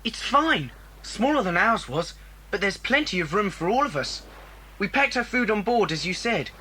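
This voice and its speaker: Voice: mid-range voice, very animated, moderate pace, pushed and bright, rough recording. A performer playing a sensible, practical boy in a children's audiobook drama. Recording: faint hiss, old cassette.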